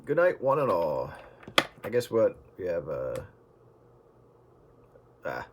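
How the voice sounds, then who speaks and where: A man's voice: low-pitched, very animated, slow. Casual man hosting over staticky radio. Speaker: host recording a radio round table. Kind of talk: casual chat, signing off. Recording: radio transmission with clear static and clicks.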